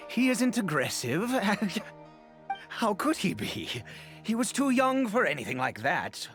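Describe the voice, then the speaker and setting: A secretive, haughty game character, a man guarding his territory. His speech is deliberate and imperious, swinging dramatically in pitch, with soft music behind him. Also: very animated, exaggerated voice. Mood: protective. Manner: imperious, curt, dramatic, clipped. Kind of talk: theatrical video game voice acting.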